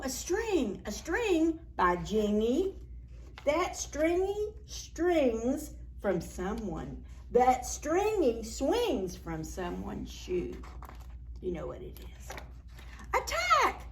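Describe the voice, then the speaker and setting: A woman storyteller reading a picture book aloud to young children in a playful, dramatic, expressive way. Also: very high-pitched. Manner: animated, playful, dramatic reading with gasps and exclamations; addresses young children with simple questions.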